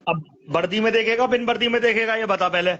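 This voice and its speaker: A man in his thirties with a fairly high voice keeps demanding to be spoken to with respect. He repeats himself again and again. The audio is muffled and lo-fi. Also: fast, pushed and bright. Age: 30s. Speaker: stream guest. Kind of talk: heated casual argument.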